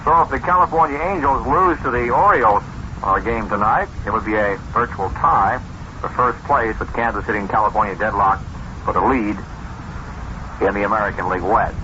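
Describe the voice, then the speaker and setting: A man's voice: fairly low pitch, a little fast, sharp tone. A man working as a baseball radio announcer, reading out a score report in a factual, newsreader-like way. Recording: dull old radio, faint noise.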